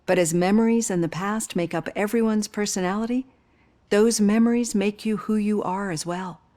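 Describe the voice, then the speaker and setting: An actress playing a psychologist in her fifties who asks gentle, probing questions. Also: mid-range voice, moderate pace, close to an everyday voice. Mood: earnest. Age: 50s. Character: female psychologist in her fifties, caring and composed.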